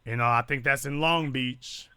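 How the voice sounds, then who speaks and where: Blunt male talk host, bright forceful voice. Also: mid-range voice, moderate pace, clean tone, dry recording. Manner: blunt, lecturing. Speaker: call-in show host. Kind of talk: live call-in talk show.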